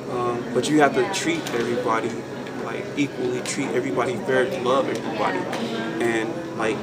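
Young guy thinking out loud, repeats himself, mic far away, tons of chatter behind. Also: medium pitch. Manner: halting, thoughtful, repeats phrases. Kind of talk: casual group discussion of faith. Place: large open indoor lounge. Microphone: camera mic a few feet away.

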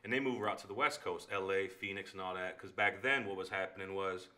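A man in his forties whose first language is Spanish, talking fast with a bright, forceful tone. He swears freely and tells stories in an animated way. He is a podcast guest in a small living room, speaking into a standing mic. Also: deep voice. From United States. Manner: fast, profane, animated storytelling. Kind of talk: casual storytelling chat. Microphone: studio mic on a stand.

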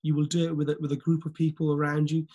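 A man in his forties with a slightly croaky voice leads a motivational team video call over his laptop webcam. His pitch sits a little high and barely moves, and he keeps circling back with fillers, repeated words and restarts. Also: monotone, quick pace, dark tone. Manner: repetitive, many fillers and restarts, earnest. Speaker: team leader hosting a group call.

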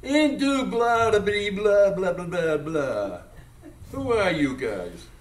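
An actor playing a drunken, brash American steamboat captain who rambles and mocks in a live radio drama. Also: male voice, high-pitched, very animated, slow, heavily acted. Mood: tipsy, mocking. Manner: rambling, mocking, incredulous. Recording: echoey live stage, distant mic.

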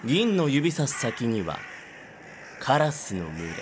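Audio drama narrator: man, mid-pitched, expressive range, scripted storytelling, faint noise. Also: slow.

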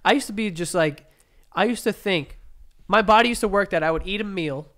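A man's voice: high-pitched, a little fast, a bit bright. A young man with a slightly congested, nasal voice, chatting and rambling casually as a podcast co-host in a living room on a desk mic. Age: young adult. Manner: rambling, trails off and laughs. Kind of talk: casual chat. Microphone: studio mic on desk stand, headphones.

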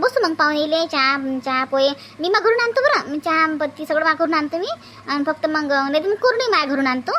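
A woman plays a poor, pleading elder sister-in-law in a cartoon comedy. Her manner is meek, hesitant and plaintive, with a Varhadi Marathi accent. She talks quickly over faint background noise. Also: high voice, fairly bright tone.

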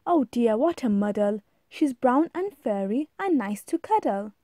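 A woman's voice: fairly high pitch, very animated, warm tone, no echo, clean studio sound. A woman voicing a fretful, insistent young monkey in a rhyming storybook, plaintive and emphatic. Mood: exasperated. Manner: plaintive, emphatic, drawn-out protests. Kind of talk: rhyming storybook read-aloud.

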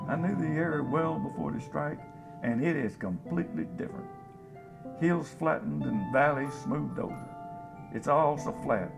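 Man acting a folksy gravelly disaster survivor. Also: medium pitch, poor audio. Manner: folksy, plain-spoken. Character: folksy, hopeful scavenger, survivor of global disaster. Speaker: actor in a radio drama. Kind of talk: radio drama, interview monologue.

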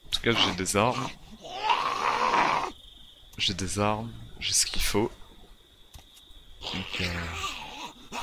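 A man improvising in-character survival gameplay commentary, thinking aloud in a hesitant, repetitive way. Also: low voice. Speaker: gaming video creator playing a survival roleplay. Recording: clearly noisy gameplay recording.

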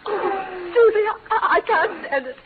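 High, fretful whimpering aunt. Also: female voice, expressive, slow, heavily acted. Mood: tearful panic. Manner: whimpering, melodramatic. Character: fretful, easily panicked spinsterish aunt. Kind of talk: 1940s-50s western radio acting. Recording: very noisy narrow-band old radio recording.

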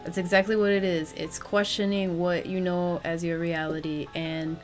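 A young woman co-hosting a casual home podcast, earnest and rambling with lots of fillers, recorded on a webcam mic in a room with a green curtain. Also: lowish voice. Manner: earnest, rambling, says like a lot. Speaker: podcast co-host. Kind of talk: casual chat.

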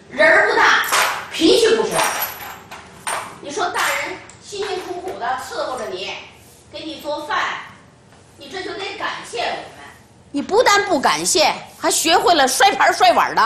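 A woman's voice: high voice, reverberant, a little hiss or hum. An actress playing a harsh, domineering older grandmother who nags and scolds sharply. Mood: nagging. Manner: nagging, scolding, sharp tongue. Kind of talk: TV drama acting.

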